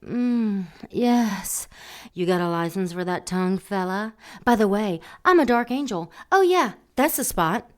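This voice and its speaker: A woman playing a seductive female dark angel who teases and mocks in an audio drama. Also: medium pitch, expressive, a little slow, lightly acted. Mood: flirtatious. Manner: teasing, sultry, mocking.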